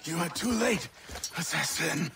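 An ailing, dignified gentleman. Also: a little high, expressive, creaky. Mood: dying, resigned. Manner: formal, measured. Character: ailing, dignified Templar gentleman, calm and courteous. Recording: game cutscene with music behind.

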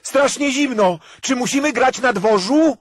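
A man's voice: very high voice, unhurried, no room sound. A young man playing a timid, whiny, chubby boy in a cartoon sketch, with music under him. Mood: complaining.

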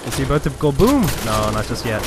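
A man's voice: mid-range voice, big pitch swings, fast, heavy background noise. A chatty man from Cork with an Irish accent, giving casual commentary on a shooter game and swearing now and then. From Cork, Ireland. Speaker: gaming channel host. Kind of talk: casual first-person shooter walkthrough commentary. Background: game music and gunfire.

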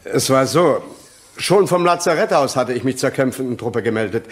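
An actor playing an earnest former soldier testifying in a radio crime drama, sober, deliberate and respectful. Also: male voice, medium pitch, lively intonation, natural voice. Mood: earnest, explaining.

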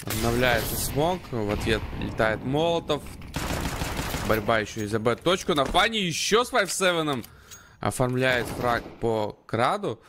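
Male game caster over clear gunfire noise. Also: mid-range voice, animated, a little slow. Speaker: esports commentator. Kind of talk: live match commentary and analysis. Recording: noisy, gunfire and clicks behind commentary. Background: game gunfire and clicks.

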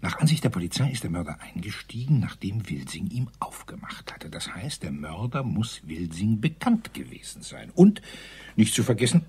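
An actor playing a rambling colonel in a German radio crime drama, hesitant then emphatic, with a husky, breathy voice. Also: male voice, fairly low pitch, very animated, moderate pace, a little mellow, full character voice. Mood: dramatic, emphatic.

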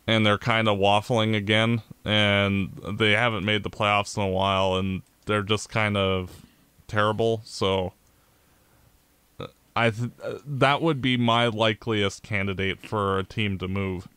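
A Canadian man co-hosting a podcast, giving blunt opinions in casual sports chat, with halting restarts. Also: lowish voice, steady tone, a bit bright, smooth, no echo. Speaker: podcast co-host.

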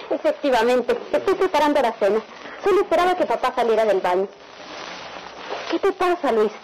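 Radionovela role: sweetly attentive young hostess, very high voice, faint noise on an old recording. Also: female voice, animated, moderate pace, a little mellow, rough recording. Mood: welcoming, concerned. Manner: gracious, solicitous. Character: cheerful, caring young daughter and hostess. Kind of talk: radio soap opera acting.

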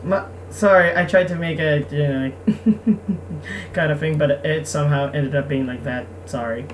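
A woman giving casual game commentary and reading game text aloud, speaking haltingly and repeating words. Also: low-pitched, fairly even intonation. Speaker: game streamer. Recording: noisy, distant microphone.

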